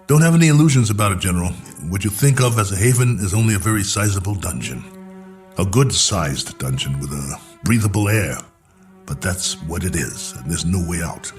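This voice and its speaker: An actor playing a lonely stranded space colonel in a radio drama, musing in a wry, world-weary way. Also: male voice, deep voice, lively intonation, fairly quick, music in the background. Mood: bitter, blunt.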